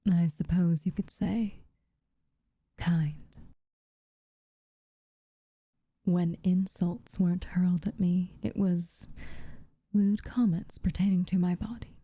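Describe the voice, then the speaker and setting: A woman playing an aloof, teasing but secretly tender witch in a soft, intimate ASMR roleplay, with a breathy, half-whispered delivery and dramatic pauses. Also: on the low side, fairly even intonation, dry recording, studio quality. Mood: wry, tender.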